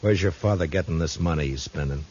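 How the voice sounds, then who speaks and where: An actor playing a firm, plain-spoken marshal in a 1950s radio western, stern and probing. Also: male voice, low voice, fast talker, a little mellow, slightly rough. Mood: pressing. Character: firm, plain-spoken frontier marshal, tough but fair. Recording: dull, hissy 1950s radio recording.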